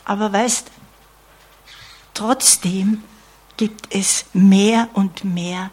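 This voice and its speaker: A woman delivers a sermon at a slow, deliberate pace, checking in with her listeners through small "ja?" asides. Her voice is breathy and soft-edged, and it often falls to a near whisper before rising again with emphasis. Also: mid-range voice, fairly even intonation. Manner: earnest, with frequent "ja?" asides and dropped whispers. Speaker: preacher.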